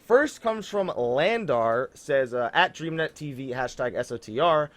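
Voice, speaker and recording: Animated man hosting a gaming podcast. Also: mid-range voice, measured pace, dry recording. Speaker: podcast host. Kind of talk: casual gaming podcast chat, reading listener questions. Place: indoors, online voice call.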